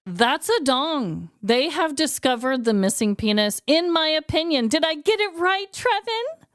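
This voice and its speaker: A woman's voice: high-pitched, big pitch swings, measured pace, no room sound, clean studio sound. A young adult woman co-hosting a podcast, blunt and emphatic, laughing often and swearing freely. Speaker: podcast co-host. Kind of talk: casual comedic chat.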